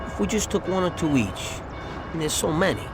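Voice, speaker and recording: An actor playing an excitable young gangster who talks in street slang and breaks into sudden outbursts. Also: male voice, on the high side, a little fast, loud music behind the voice, rough recording. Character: excitable young male gangster, tempted by loot. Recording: game audio with street noise.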